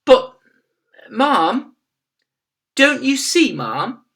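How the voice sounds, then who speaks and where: A woman's voice: high-pitched, big pitch swings, very slow, a bit bright, pitched above the performer's own voice, full character voice. A woman voicing a fussy, plain-spoken middle-aged maid who speaks in a deferential, flustered, homely way. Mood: anxious.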